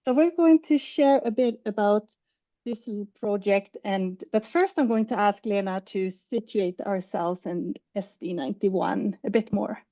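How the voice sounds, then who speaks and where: A woman from Sweden, an educator, giving a measured prepared conference talk over a video call. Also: medium pitch, warm tone, no echo, lo-fi sound. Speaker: educator presenting at a symposium.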